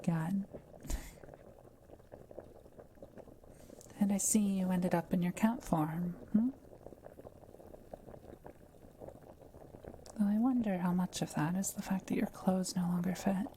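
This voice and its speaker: A kindly, curious witch acted by a woman with a soft, dark-toned voice that sits a little low. She speaks in gentle, measured, politely formal phrases, as in an intimate audio roleplay, with faint ambient noise behind her. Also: fairly even intonation, natural voice, rough recording. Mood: gently curious. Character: kind, curious forest witch, soft-spoken and politely wry. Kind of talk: intimate scripted audio roleplay addressed to the listener.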